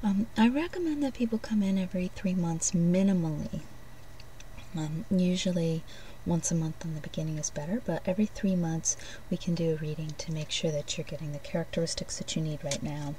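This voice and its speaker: A woman doing an ASMR role play as a shopkeeper, speaking softly and gently, often breathy and near a whisper, in unhurried short phrases. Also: lowish voice, far from the mic, slight background noise, rough recording. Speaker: ASMR host playing a shopkeeper giving card readings.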